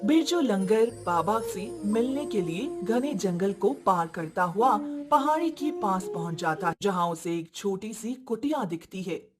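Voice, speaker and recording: A woman's voice: mid-range voice, animated, unhurried, hardly any pauses, music in the background. A woman narrating a scripted story.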